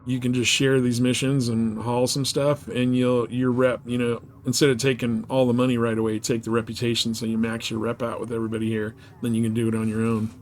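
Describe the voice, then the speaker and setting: A man's voice: medium pitch, little pitch movement, fairly quick, few pauses, a little mellow, slight background noise. A man chatting casually as he streams a game, laid-back, slangy and prone to swearing. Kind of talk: casual chat with viewers while playing.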